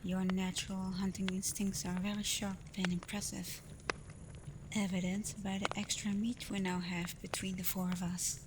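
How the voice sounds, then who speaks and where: A woman voicing a caring alpha werewolf in a soft, breathy ASMR roleplay, gentle and reassuring, confident but privately unsure. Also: medium pitch, normal speed, natural voice. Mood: warm, encouraging. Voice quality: soft, breathy, often near a whisper. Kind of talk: ASMR roleplay, soft intimate talk to the listener. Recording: clear steady ambient noise.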